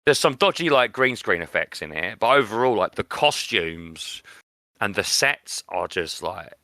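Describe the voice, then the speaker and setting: A man's voice: fairly low pitch, big pitch swings, a little slow, pushed and bright, dry recording, clean studio sound. A man co-hosting a podcast, chatting casually about film in an opinionated, emphatic way. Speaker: podcast co-host.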